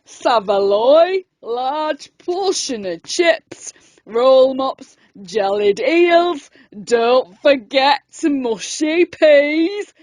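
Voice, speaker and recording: Woman voicing a slow, lower-pitched polite hungry shark. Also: lively intonation, darkish tone, no echo, heavily acted. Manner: polite, slow, drawn-out ordering. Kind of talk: children's storybook character voice.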